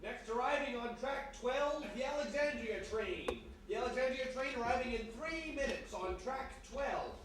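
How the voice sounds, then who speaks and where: A man's voice: very high voice, animated, moderate pace, few pauses. A man voicing a formal railway announcer and conductor, calling out in a radio play. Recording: very echoey live room, distant, noisy.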